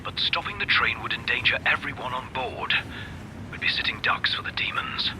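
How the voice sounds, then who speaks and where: An actor playing a level-headed, formal royal adviser in a video game, measured and precise, heard as if over a phone. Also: male voice, medium pitch, animated, in a whisper, poor audio. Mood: calm, concerned. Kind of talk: dramatic video game voice acting.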